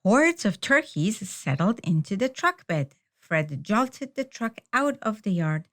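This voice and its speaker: Lady doing storytime read-aloud, slow and expressive, mid-pitched. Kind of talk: children's picture book read-aloud.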